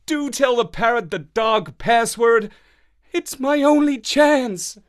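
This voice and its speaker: A man's voice: very high voice, slow, pitched above the performer's own voice. A man voicing Marlowe, an unhappy man turned into a dog, pleading in a raised whiny voice.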